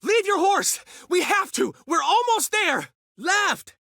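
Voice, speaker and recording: A charming, vain bard in his middle years in a video game, his voice leaping lively up and down with theatrical flair. He sounds dry and close, with no room around him. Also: extremely high pitch, takes it slowly, vocal fry, no echo, heavily acted. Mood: urgent. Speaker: game character voice. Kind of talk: game voice lines.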